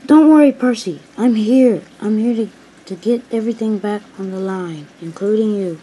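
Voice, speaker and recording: A woman voicing a helpful, determined male rescue engine in a children's story, brisk and emphatic. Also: medium pitch, dark tone, husky, natural voice, rough recording. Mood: determined, reassuring.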